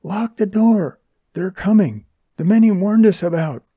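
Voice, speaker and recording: A man's voice: sits high, animated, measured pace, vocal fry, no echo, phone-quality sound, pitched above the performer's own voice, exaggerated voice. A man voicing a fiery, desperate young woman who pleads urgently, in an audiobook. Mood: frightened. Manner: urgent, imploring.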